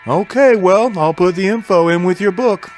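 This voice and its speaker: A man playing a friendly, encouraging game-store owner in an audio drama, chatty and expressive. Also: high-pitched, normal speed, soft background music, lightly acted. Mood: helpful, easygoing. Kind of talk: audio drama character dialogue.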